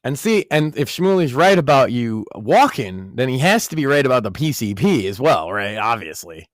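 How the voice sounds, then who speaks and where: A man's voice: medium pitch, sing-song, moderate pace, no room sound, clean studio sound. A man from Massachusetts with a light Northeastern accent, co-hosting a casual podcast and joking profanely. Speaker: podcast co-host. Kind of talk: casual chat.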